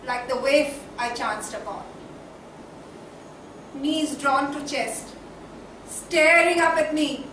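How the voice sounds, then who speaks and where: A woman with an Indian accent acting an anguished, reflective figure in a declamatory, deliberate theatrical monologue on stage. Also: high voice, a bit bright. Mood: solemn, reflective. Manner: declamatory, deliberate, with dramatic pauses and repetitions. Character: anguished, reflective woman baring her soul in soliloquy. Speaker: stage actor. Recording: very echoey stage, distant mic, faint noise.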